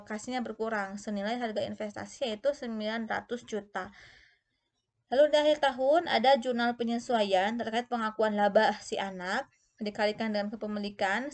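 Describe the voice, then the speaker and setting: High-voiced woman teaching step by step. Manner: step-by-step, asks and answers own questions. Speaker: accounting instructor. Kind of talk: explanatory tutorial lecture.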